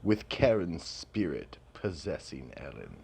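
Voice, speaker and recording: A man narrating a radio mystery drama in hushed, ominous tones. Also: extremely low pitch, sing-song, unhurried, vocal fry, over music.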